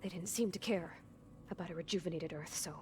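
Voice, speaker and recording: A woman playing an anxious, withdrawn young clone in a video game, speaking in halting, clinical phrases. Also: fairly low pitch, natural voice. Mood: grim. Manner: halting, clinical, technical wording. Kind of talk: video game dramatic acting. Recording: music behind the voice. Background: game score.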